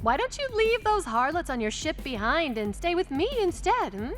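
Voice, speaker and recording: An actress playing an obsessive, lustful mad inventor villainess in a video game, gushing and theatrical with a seductive, manic manner. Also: female voice, high-pitched, big pitch swings, moderate pace, over music. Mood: seductive, menacing.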